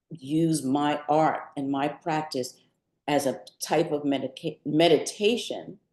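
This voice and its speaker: An older woman talking reflectively about creative work, measured and thoughtful, with deliberate pauses in mid-sentence. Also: low voice, darkish tone. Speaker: poet and artist being interviewed. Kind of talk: reflective talk about own creative work at a book event. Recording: roomy, echoing live event sound.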